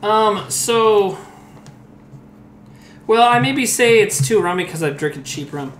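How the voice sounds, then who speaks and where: A man's voice: sits high, animated, slow pace, fairly bright tone. A man in his forties chatting loosely while he cooks at home, telling rambling stories with laughs and the odd swear word, a little tipsy from rum. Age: 40s. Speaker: comedian streaming while cooking. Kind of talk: casual rambling chat. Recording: echoey room with faint background noise.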